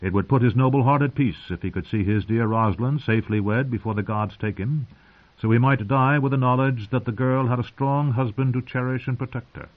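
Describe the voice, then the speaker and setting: A man's voice: medium pitch, a little fast, narrow, tinny audio, pitched above the performer's own voice, natural voice. A man narrating an audiobook as a courteous, sly nobleman envoy with a formal, diplomatic and politely insistent manner. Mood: smoothly persuasive.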